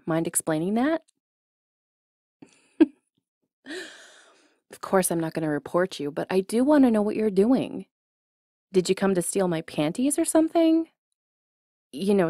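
A woman's voice: fairly low pitch, dark tone, no echo, clean studio sound. A young woman playing a jealous, teasing college ex-girlfriend in an intimate ASMR roleplay, speaking expressively straight to the listener. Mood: teasing, amused. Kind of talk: ASMR roleplay acting, intimate one-sided dialogue.

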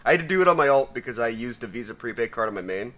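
A young man with a raspy voice giving casual, profane commentary while opening loot, swinging between mumbling and shouting. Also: medium pitch, animated, racing. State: raspy from sneezing. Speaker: gaming YouTuber.

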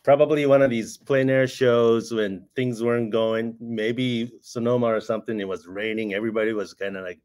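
A man in his fifties, born in Japan, talking about his career over a video call in a soft-spoken, hesitant way. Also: mid-range voice, steady tone, moderate pace, few pauses. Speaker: guest artist. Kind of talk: casual conversational interview. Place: home room, indoors.

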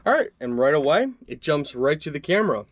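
A man's voice: fairly high pitch, fairly quick. A young man giving a casual product review at his desk, walking through the features conversationally with a few fillers. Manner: relaxed, conversational walkthrough with fillers. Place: indoor desk workspace.

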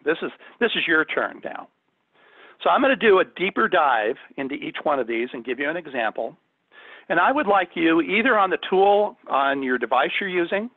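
A man's voice: on the high side, dry recording. A man working as a corporate trainer, leading an online workshop in a conversational, emphatic, instructive way. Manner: emphatic, instructive, addresses listeners with questions. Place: indoors, on a video call. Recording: thin phone-like audio, dry.

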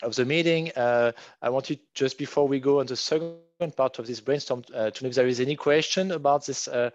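A man's voice: mid-range voice, a little fast, faint music behind. Man with a strong French accent. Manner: hesitant, many fillers and restarts. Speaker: workshop moderator. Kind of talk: online meeting facilitation. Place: home room, virtual background. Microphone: headset on video call. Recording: dull, dry video call audio.

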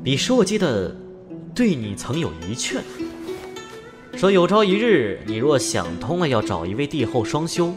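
A man's voice: fairly high pitch, over music. A man acting a chatty, nosy immortal in a fantasy audio drama, wry and incredulous with his friend. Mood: wry, musing. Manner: animated, wry, drawn-out musing.

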